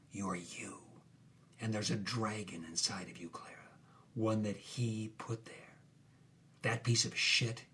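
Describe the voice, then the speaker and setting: A man playing an intense, goading old-Hollywood film director, hushed and urgent, with tough noir-style delivery. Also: fairly low pitch, slight background noise. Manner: hushed, urgent, conspiratorial whispering.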